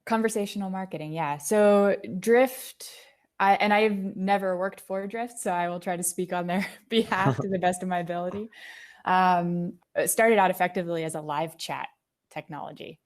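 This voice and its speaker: A woman's voice: on the low side, moderate pace. A woman speaking as a podcast guest, explaining things articulately in a conversational interview style. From United States. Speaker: marketing executive, podcast guest.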